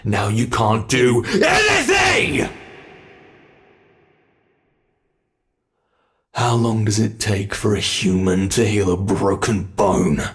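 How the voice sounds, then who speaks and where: A man's voice: on the low side, heavily acted. A man with a British accent playing a mocking male monster who hunts a human, in an ASMR horror roleplay. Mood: irritated. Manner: mocking, drawn-out coaxing, impatient. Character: teasing predatory male monster hunting a human.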